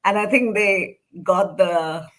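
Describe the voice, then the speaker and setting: A woman's voice: medium pitch, slow pace, fairly bright tone. A woman from India co-hosting a casual review chat, speaking hesitantly with fillers and restarts. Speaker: co-host. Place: home in London.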